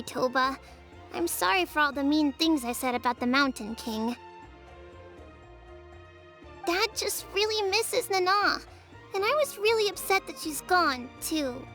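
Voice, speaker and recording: A woman's voice: high voice, bright, forceful tone, full character voice. A woman voicing an earnest, slightly sulky but well-meaning young child in a video game, with a childlike voice. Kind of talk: video game character acting.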